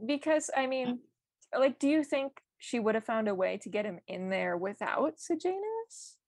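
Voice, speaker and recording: Husky-voiced woman in casual podcast chat. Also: a little high, lively intonation, moderate pace, a little mellow, no room sound, studio quality. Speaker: podcast co-host. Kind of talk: casual book discussion.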